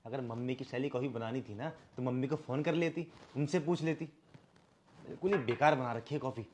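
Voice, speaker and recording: Young Indian husband, played by a male actor, medium pitch, fault-finding and earnest, domestic drama scene. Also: brisk, noisy background, natural voice. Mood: dismissive. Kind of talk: scripted domestic drama acting in Hindi with some English.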